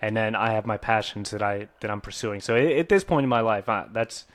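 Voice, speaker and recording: A man in his thirties chatting on a podcast, agreeable and halting, often restarting his sentences. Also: lowish voice. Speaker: podcast co-host. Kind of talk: casual chat.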